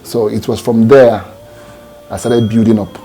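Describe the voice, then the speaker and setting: A man's voice: lowish voice, steady tone, unhurried, warm tone, poor audio. A Nigerian man, a gospel singer, answering interview questions in a reflective, hesitant way with many fillers and restarts.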